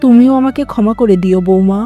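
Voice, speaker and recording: A woman's voice: medium pitch, quick pace, warm tone, faint music behind, close to an everyday voice. A woman voicing a stern older mother-in-law with a firm, admonishing manner in a cartoon. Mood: regretful. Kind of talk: Bengali moral-story cartoon dubbing.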